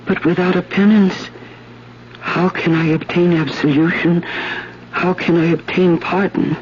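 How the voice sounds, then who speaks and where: An actress playing an anguished, worn French woman doing penance, solemn and halting, in a 1970s radio drama. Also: fairly low pitch, fairly even intonation, darkish tone, a little hiss or hum, close to an everyday voice. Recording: dull 1970s radio recording with music.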